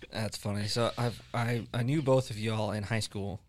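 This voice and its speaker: A man's voice: fairly low pitch, fairly even intonation, a little slow, slight background noise. A man, hosting a casual podcast chat and rambling with many restarts. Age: 20s. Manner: rambling, restarts often, laughs easily. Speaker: podcast host.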